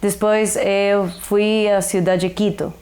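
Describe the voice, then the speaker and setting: Uma jovem adulta do Equador, nativa em espanhol, dá seu testemunho num português hesitante com sotaque equatoriano, misturando palavras espanholas. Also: female voice, medium pitch, little pitch movement, darkish tone. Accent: Ecuadorian Spanish. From Ecuador. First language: Spanish. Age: young adult. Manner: halting, mixes Spanish words into Portuguese. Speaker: resident sharing her testimony. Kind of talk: personal testimony.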